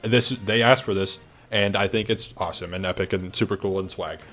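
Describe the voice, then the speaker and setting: Casual young male host, under the weather and hoarse, upbeat and rambly, low-ish voice. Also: fairly even intonation, a little fast, a bit bright, soft background music. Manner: upbeat, rambling with many fillers. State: sick, losing his voice. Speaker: event host announcing teams. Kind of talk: casual video announcement.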